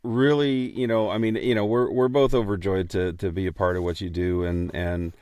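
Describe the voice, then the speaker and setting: A man co-hosting a podcast in casual chat, speaking haltingly with a self-deprecating manner. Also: low-pitched, steady tone, a little mellow, no echo, studio quality. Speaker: podcast co-host and amateur voice actor.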